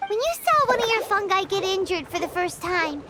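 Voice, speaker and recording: A woman voicing a small, chatty, excitable floating fairy companion in a video game, with a squeaky childlike voice. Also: fairly quick, very noisy. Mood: earnest. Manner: excitable, speaks of self in third person. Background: game music.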